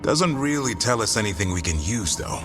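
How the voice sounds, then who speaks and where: A man's voice: fairly low pitch, big pitch swings, moderate pace, music in the background. A man playing a blunt, practical warrior companion in a fantasy game, speaking firmly. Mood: blunt, urgent. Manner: blunt, firm. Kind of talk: naturalistic game dialogue.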